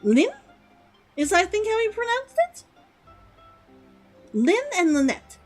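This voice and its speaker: A woman's voice: very high-pitched, very animated, takes it slowly, soft background music. A young woman streaming a game, chatty and giggly, repeating words aloud to test how they sound. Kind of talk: live game commentary.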